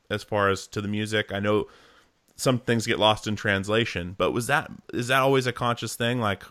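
A man's voice: fairly low pitch, a bit bright, no echo, studio quality. A man hosting a podcast, asking long rambling questions with many hesitations in casual chat. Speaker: podcast host.

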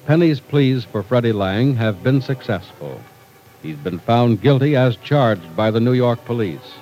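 A man's voice: medium pitch, mellow, rough recording. A man announcing on 1940s radio, reading scripted sponsor copy and story narration in an old broadcast recording.

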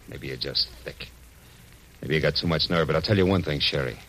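An American actor playing a tough, sardonic private detective in an old radio noir drama, with curt, slangy delivery. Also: male voice, rumbling low, normal speed, fairly bright tone, slight background noise, poor audio. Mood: hard, pressing. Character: hard-boiled, bullying male private detective, sardonic and tough. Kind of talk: 1940s-1950s radio noir acting and first-person narration.

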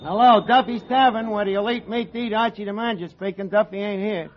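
A man's voice: high-pitched, steady tone, fast, soft background music, lightly acted. An actor playing a cocky, scheming male tavern manager who talks in street slang and mangled grammar, in 1940s radio sitcom style. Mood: boastful. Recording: 1940s radio broadcast, dull audio, studio audience laughter and hiss. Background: studio audience laughter.

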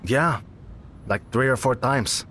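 A man's voice: mid-range voice, slow pace, a little hiss or hum. A man playing a friendly, dramatic young cafe owner, a loyal best friend, in an audio drama. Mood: exasperated, venting. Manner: expressive, teasing, emphatic. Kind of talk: naturalistic audio drama acting.

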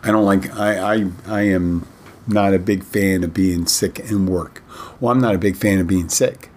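Brief: middle-aged male podcast host, deep husky voice, slightly rough from a cold, loose halting delivery. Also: fairly even intonation. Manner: rambling, halting, many restarts. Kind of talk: casual solo podcast talk.

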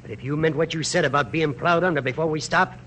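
A faintly hissy old radio drama in which an actor plays a humble male soldier. He sounds eager and earnest, with a plain way of talking and a fairly high voice. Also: fast talker, close to an everyday voice. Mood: fervent.

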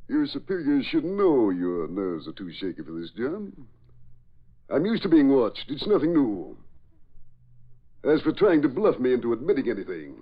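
An actor playing a shrewd, haughty double-dealing spy in a 1940s radio drama, theatrical and indignant, with a gravelly, husky voice. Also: male voice, mid-range voice, wildly swooping pitch, moderate pace. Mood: indignant, defiant. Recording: dull old radio recording.

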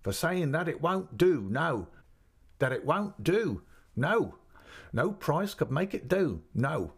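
A man's voice: a little high, sing-song, normal speed, breathy edge, voice pushed up, heavily acted. A man voicing a blunt, stiff, loyal woman servant in a storybook, speaking plainly and emphatically. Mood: emphatic indignation. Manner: emphatic, rustic plain-spoken, repetitive.

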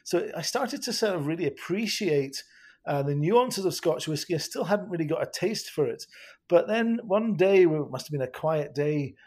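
A man's voice: a little high, expressive, moderate pace, a little mellow. A Scottish man chatting as a podcast guest, laughing often and restarting his sentences. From Scotland. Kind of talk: casual podcast conversation.

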